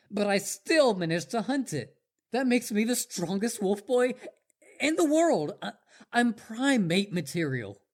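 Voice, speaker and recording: A man's voice: sits high, a little mellow, full character voice. A man playing an eager, boastful, lovestruck young wolf boy in a roleplay audio drama, rambling and stammering when flustered. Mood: flustered, pleading.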